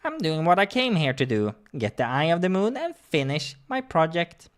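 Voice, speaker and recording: Man doing a scornful, raised-pitch weary villain. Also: big pitch swings, normal speed, clean tone. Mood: defiant. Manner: commanding, scornful. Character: bitter, weary, scheming male furry villain.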